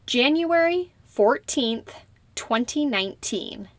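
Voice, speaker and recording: A young woman playing a polite citizenship applicant who answers slowly and carefully in a scripted practice interview. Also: on the high side, animated, no echo. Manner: slow, careful, deliberate answers. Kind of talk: scripted role-play interview.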